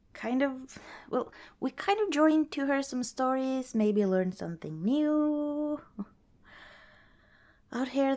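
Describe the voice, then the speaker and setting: A woman playing a friendly, protective young wanderer in an ASMR roleplay, chatting casually and gently with hesitant pauses and small chuckles. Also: fairly high pitch. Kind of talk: ASMR roleplay, casual one-sided dialogue.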